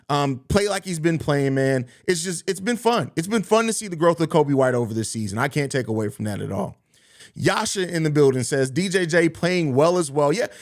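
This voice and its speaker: Guy hosting a live sports stream, reads chat comments and riffs on them, kinda higher voice. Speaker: sports podcast host.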